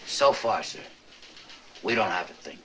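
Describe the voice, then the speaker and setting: An actor playing a rumpled middle-aged police detective in a crime drama, hesitant, digressive and deferential. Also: male voice, on the low side, lively intonation, unhurried, a bit bright. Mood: evasive, polite. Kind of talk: television crime drama acting.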